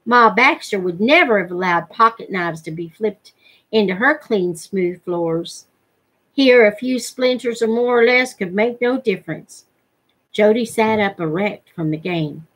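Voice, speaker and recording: A middle-aged woman reads a story aloud. Her voice sits at a medium pitch and has a husky, unpolished edge. She is narrating a novel for a read-along. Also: a little slow, a bit bright, low-quality recording. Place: living room at home, indoors.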